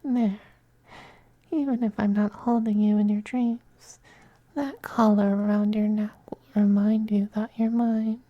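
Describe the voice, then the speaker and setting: An actor voicing a possessive, doting male vampire noble, a femboy lover, in a soft, breathy, whispered ASMR roleplay with a coaxing, tenderly domineering manner. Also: mid-range voice, level tone, unhurried, no room sound, muffled recording, close to an everyday voice. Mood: possessive, devoted.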